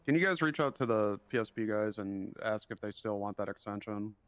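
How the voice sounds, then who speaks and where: A patient, apologetic official in an online role-play game as acted out by a man. He has a Midwestern American accent and a low, smooth, clear voice. He feels his way through sentences, trying to keep everyone calm. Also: brisk, no room sound, close to an everyday voice. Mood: patient, weary. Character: patient, apologetic male government official doing audits. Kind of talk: improvised in-character role-play conversation.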